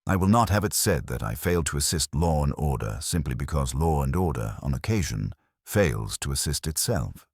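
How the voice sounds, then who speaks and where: A man's voice: a bass voice, very animated, normal speed, hardly any pauses, slightly rough, no room sound, clean studio sound, exaggerated voice. A man voicing a pompous, self-righteous treasurer in an audiobook mystery, speaking in stiff, orotund formality. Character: pompous, self-righteous male committee treasurer, serenely evasive.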